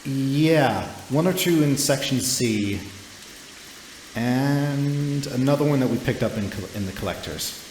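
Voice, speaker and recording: A man's voice: mid-range voice, lively intonation, a little slow, a little mellow, roomy sound, slight background noise, pitched above the performer's own voice, lightly acted, poor audio. A man voicing casual, businesslike criminal henchmen in a tabletop game, offhand and weary. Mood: businesslike, resigned.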